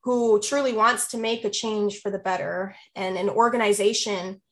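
Earnest young woman speaking in measured phrases. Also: mid-range voice, steady tone. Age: 20s. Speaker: interviewee. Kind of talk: earnest personal reflection.

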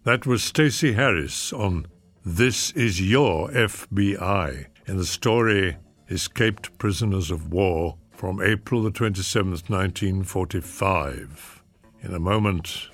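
A man hosting a vintage radio anthology with measured scripted links. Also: low voice, fairly bright tone. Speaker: show host. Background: faint music.

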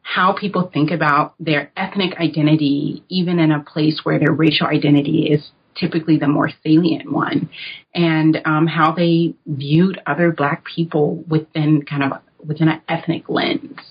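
Low husky woman with lively chat. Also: normal speed. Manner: animated and chatty, with many fillers, rising in pitch when amused. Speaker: author guest, political science professor. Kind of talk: conversational interview. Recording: dull lo-fi audio.

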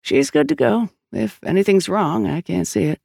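An audiobook narrator playing a calm, businesslike doctor who gives polite clinical instructions. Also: medium pitch, normal speed, creaky, breathy edge, dry recording, studio quality, exaggerated voice.

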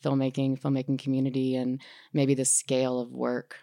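A woman with a low voice talks casually as a guest filmmaker. Her phrasing is reflective and a little halting, and the sound is dry. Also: monotone, warm tone, no echo. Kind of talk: casual conversation.

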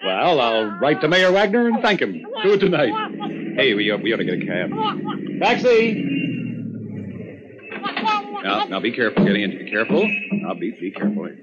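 Male, excitable American husband and new father, chattering and fussy, lively inflection, dull vintage radio sound. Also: medium pitch, normal speed, pauses often. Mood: eager, doting. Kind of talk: 1950s radio drama acting. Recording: muffled, noisy 1950s radio recording.

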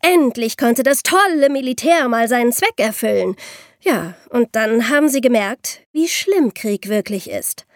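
A woman co-hosting an explanatory history podcast, speaking clearly and emphatically. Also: on the high side, animated, unhurried, no echo, clean studio sound. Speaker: podcast co-host.

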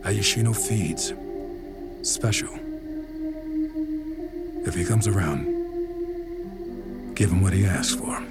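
A calm mystic gardener in an audio drama. His low voice crackles with fry as he gives curt, cryptic instructions over background music. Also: takes it slowly, pauses often. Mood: calm command. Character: calm, commanding male mystic healer and gardener.

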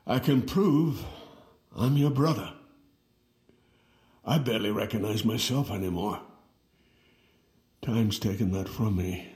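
An actor playing an aged, weary twin brother in an audio drama, speaking in a halting, wry, reflective way. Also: male voice, lowish voice, darkish tone, reverberant. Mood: shaken, pleading. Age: older. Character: aged, weary twin brother reunited with his lost sibling.

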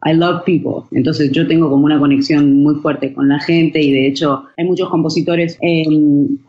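A woman's voice: low-pitched, steady tone, normal speed. A middle-aged Argentine woman with an Argentine Spanish accent, talking casually as a songwriter guest, restarting often and dropping English phrases into her Spanish. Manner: chatty, many restarts and fillers, mixes in English phrases. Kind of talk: casual interview talk. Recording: dull muffled recording.

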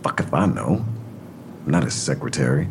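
A man's voice: rumbling low, lively intonation, normal speed, creaky, slight background noise, heavily acted. An actor playing a hostile, evasive ex-convict stepfather in an audio drama, curt and dismissive with coarse street talk. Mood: defiant, menacing.

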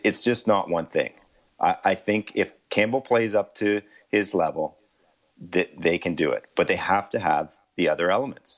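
A man appearing as a guest hockey writer on a sports radio show, thinking through options aloud in an analytical way. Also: deep voice. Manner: thoughtful, weighs options aloud.

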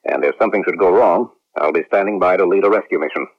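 An actor playing a resentful, manipulative colonel in a late-1970s radio drama. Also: male voice, sits low, fast talker, slightly rough. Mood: brisk, obedient. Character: scheming, resentful male colonel, smooth manipulative instructor. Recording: muffled, lo-fi vintage radio recording.

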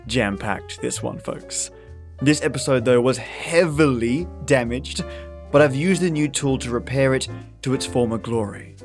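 A man's voice: mid-range voice, measured pace. A man hosting a podcast, giving a casual, scripted introduction in an enthusiastic, chatty manner. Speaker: podcast host. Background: background guitar music.

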